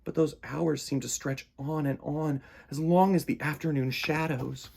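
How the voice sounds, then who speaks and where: A man's voice: fairly high pitch, steady tone, a little slow, creaky, a little mellow, a little hiss or hum. A man reading a picture book aloud to children with dramatic emphasis and stretched words. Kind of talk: animated children's story reading.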